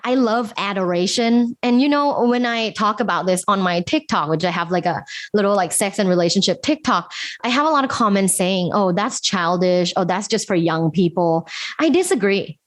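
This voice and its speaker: A woman's voice: mid-range voice, expressive, moderate pace. A woman from Thailand, a guest sex educator, talking candidly in a casual interview, with lots of fillers and frequent laughs. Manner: candid, chatty, laughs often, many fillers.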